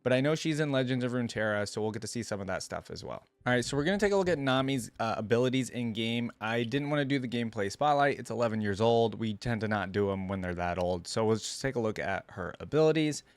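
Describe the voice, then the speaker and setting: A man hosting a reaction video, talking casually as he thinks out loud and reads text from the screen. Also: mid-range voice, lively intonation, quick pace, few pauses, dry recording.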